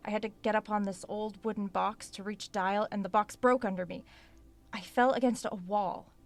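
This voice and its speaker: An actress playing an excitable, curious woman exploring a creepy basement in a horror audio drama, expressive, as if talking to herself. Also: mid-range voice, natural voice. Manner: expressive, muttering to herself and exclaiming.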